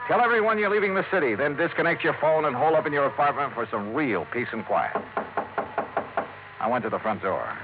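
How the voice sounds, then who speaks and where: An American actor playing a wry, hard-boiled private investigator in 1950s radio drama, with a sardonic, clipped, tough-talking delivery. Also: male voice, fairly high pitch, sing-song, a little fast, background noise. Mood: tense narration. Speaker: lead actor in a detective radio serial. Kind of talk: 1950s radio acting, hard-boiled first-person narration. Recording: tinny 1950s radio recording, music underneath.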